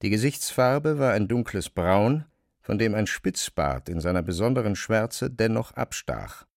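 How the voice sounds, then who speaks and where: Low-pitched male narrator, dry sound. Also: animated, unhurried, vocal fry, no echo. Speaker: narrator of a literary audio drama. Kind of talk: scripted literary narration.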